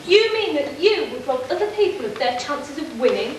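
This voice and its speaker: A woman's voice: high voice, big pitch swings, normal speed. A woman playing a proud, honest young shoemaker's daughter in an amateur pantomime, recorded in an echoey hall. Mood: indignant. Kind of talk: amateur pantomime stage acting. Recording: echoey hall, distant mic, clear noise.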